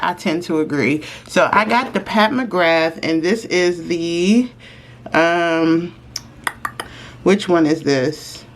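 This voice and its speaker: Low-voiced congested woman, chatty. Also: slight background noise. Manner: chatty, friendly, slows down while applying makeup. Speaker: beauty vlogger. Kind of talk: casual makeup review.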